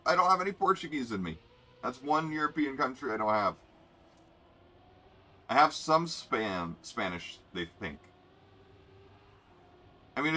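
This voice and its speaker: A man's voice: medium pitch, pushed and bright. A young man streaming a racing game, rambling and joking as he reads out player names, with faint music behind. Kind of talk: casual live game commentary.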